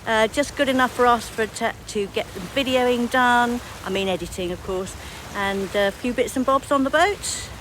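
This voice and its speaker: A woman's voice: normal speed, a bit bright. A woman hosting a sailing vlog, chatting casually in an upbeat, appreciative way to the camera. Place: outdoors on a sailboat. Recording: noisy outdoor boat recording, engine rumble.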